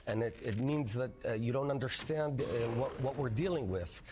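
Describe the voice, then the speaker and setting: A man answering questions in a televised interview, measured and hesitant, with many fillers. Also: mid-range voice, noisy background.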